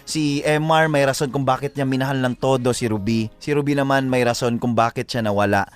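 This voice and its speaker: A man's voice: mid-range voice, fairly even intonation, a little fast, smooth. A Filipino man co-hosting a live show, chatting casually and giving earnest love advice, repeating phrases for emphasis. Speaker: radio show co-host giving advice to letter senders.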